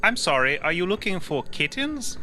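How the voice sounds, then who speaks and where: Guy doing a nervous nice negotiator in an rpg, bright voice, careful and coaxing. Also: high-pitched, big pitch swings, normal speed, soft background music, pitched above the performer's own voice, heavily acted. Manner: gentle, coaxing, hesitant. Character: polite, anxious negotiator coaxing a big creature.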